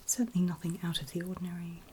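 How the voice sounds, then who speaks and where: A woman whispering very gently and reassuringly in a breathy, unvoiced ASMR style, as a medical examiner in a roleplay, close to the microphone. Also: fairly low pitch, monotone, fast, slight background noise. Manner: gentle, reassuring, checking in often. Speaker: ASMR performer playing an ear examiner.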